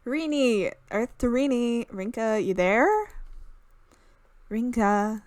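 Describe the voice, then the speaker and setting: A woman's voice: fairly high pitch, takes it slowly, no room sound. A woman voicing a young woman in a visual novel, calling urgently to her friend. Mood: impatient.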